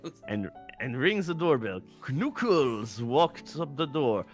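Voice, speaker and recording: A man reading fan fiction aloud on a stream in comic narration, with animated delivery and casual asides. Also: medium pitch, takes it slowly, soft background music.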